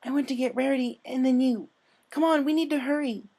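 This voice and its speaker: 남자가 연기하는 높고 다급한 어린 암컷 조랑말. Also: male voice, extremely high pitch, steady tone, fairly quick, gravelly, a little mellow, voice pushed up, heavily acted. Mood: panicked, urgent. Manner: urgent, timid. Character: panicked, soft-spoken young female pony.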